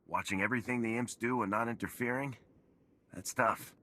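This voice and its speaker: An actor playing a steadfast, terse military squad leader in a video game, with a commanding manner. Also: male voice, medium pitch, fairly even intonation, fairly quick, pushed and bright, lightly acted. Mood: sympathetic. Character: steadfast male Republic trooper squad leader.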